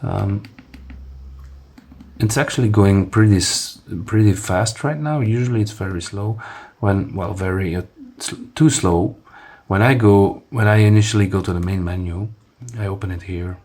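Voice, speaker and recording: Casual male reviewer, Dutch first language, lowish voice, halting, full of restarts. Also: darkish tone. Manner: rambling, many restarts and fillers, demonstrating as he talks. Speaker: phone reviewer. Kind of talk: casual hands-on product review.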